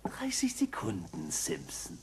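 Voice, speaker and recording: An actor playing a scheming, imperious old cartoon tycoon with a raspy voice, oily and sardonic, in a German-dubbed audio play. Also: male voice, sits low, huge pitch swings, slow pace, noisy background, exaggerated voice. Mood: oily, persuasive.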